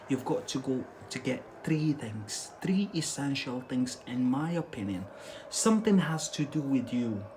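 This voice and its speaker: A man's voice: mid-range voice, slight background noise. Emphatic man teaching English live. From Algeria. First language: Algerian Arabic. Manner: emphatic and repetitive, keeps checking in with "yeah?" and "that's it". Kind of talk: casual live-stream lesson.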